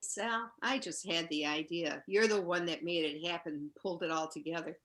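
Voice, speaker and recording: A woman playing a quietly cold, scheming widow in a campy noir radio play. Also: fairly low pitch, moderate pace, natural voice. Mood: relieved. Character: quietly cold, relieved widow Vera, secret poisoner.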